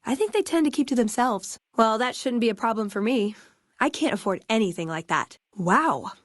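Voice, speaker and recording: A woman's voice: on the high side, animated, moderate pace, no room sound, studio quality. An actress playing a friendly, wry young American woman in a video game, with casual, playful, sardonic conversational delivery. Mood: friendly, upbeat. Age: young adult. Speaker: video game companion character. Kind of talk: scripted game dialogue, conversational.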